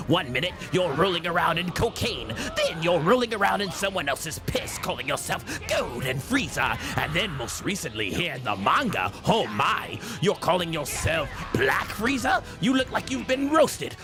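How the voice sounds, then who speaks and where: A man voicing a mocking, gloating alien parasite villain, taunting theatrically as he comments on a fighting game. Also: fairly high pitch. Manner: taunting, theatrical, drawn-out, cackling. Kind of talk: in-character live game commentary and trash talk. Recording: game music loud behind the voice.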